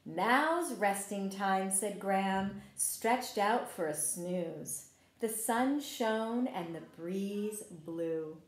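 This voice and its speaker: A woman teacher reading a picture book aloud to young children, expressive and encouraging, often turning to the listeners with questions. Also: mid-range voice, extremely slow. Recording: roomy, echoing room sound.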